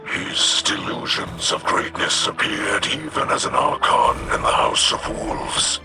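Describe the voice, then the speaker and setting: An actor playing a wise alien elder, a fatherly advisor giving measured, formal counsel in a video game. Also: mid-range voice, lively intonation, bright, forceful tone, faint music behind. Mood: grave, measured. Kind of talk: video game character dialogue.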